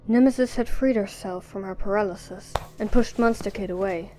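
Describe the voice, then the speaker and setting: A woman's voice: medium pitch, moderate pace, mellow, rough recording. A woman narrating an audio drama in a scripted storytelling style, with music behind her.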